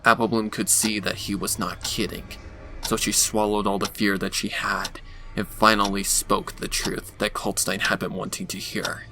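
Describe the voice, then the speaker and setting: A man's voice: fairly low pitch, level tone, moderate pace, over music. A man narrating a scripted dramatic story on a noisy home recording.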